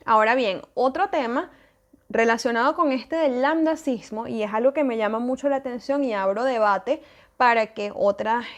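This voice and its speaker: A woman with a Venezuelan Spanish accent, hosting a podcast and talking in a thoughtful, casual way, with many fillers and restarts. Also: fairly high pitch. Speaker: podcast host. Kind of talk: casual solo podcast talk.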